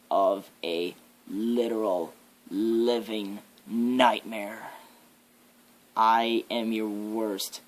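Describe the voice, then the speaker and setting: A man voicing a menacing devil in an ASMR horror roleplay, with an ominous, drawn-out, theatrical delivery. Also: fairly low pitch, slight background noise. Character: menacing devil, a living nightmare preaching fear and death.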